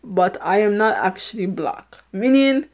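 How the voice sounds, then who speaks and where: A woman's voice: mid-range voice, lively intonation, measured pace, darkish tone. A middle-aged woman from Haiti with a British-sounding accent, rambling through a casual solo podcast, repeating herself and laughing often. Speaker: podcast host.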